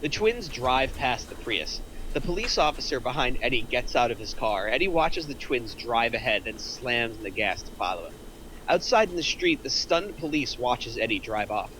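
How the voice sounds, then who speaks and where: A man's voice: medium pitch, expressive, moderate pace, pushed and bright, low-quality recording. A man reading screenplay action aloud as a scripted narrator. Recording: faint background noise.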